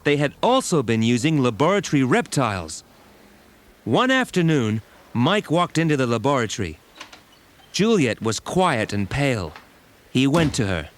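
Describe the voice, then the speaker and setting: A man's voice: medium pitch, big pitch swings, normal speed. A man giving scripted, dramatic narration as the storyteller of an audio storybook. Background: music.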